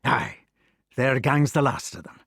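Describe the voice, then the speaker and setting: A man voicing a big, blunt knight with a gruff, low delivery. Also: lively intonation, fairly bright tone, no room sound, studio quality, full character voice. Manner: gruff, deep, slow drawl. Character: big bluff Scottish knight, blunt and hearty.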